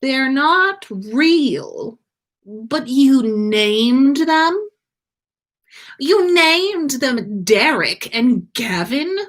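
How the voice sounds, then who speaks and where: A woman's voice: high-pitched, expressive, very slow, a bit bright, voice pushed up, heavily acted. A woman voicing a haughty, sardonic young wizard man who is easily startled, in a storybook reading. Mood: startled, incredulous.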